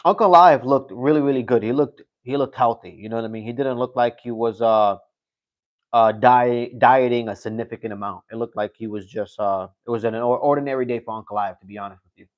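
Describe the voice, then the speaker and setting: A man's voice: medium pitch, fairly quick. Rambling man on a lo-fi stream. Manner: rambling, repeats himself, fillers and profanity. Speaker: livestream host. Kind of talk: casual live-stream commentary.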